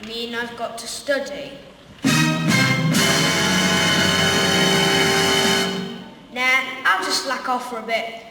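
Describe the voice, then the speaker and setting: A woman's voice: fairly high pitch, fairly even intonation, moderate pace, loud music behind the voice, close to an everyday voice. A young woman playing a casual, lazy schoolboy wizard hero in a comic amateur radio play. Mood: nonchalant. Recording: very echoey hall from far off, faint noise.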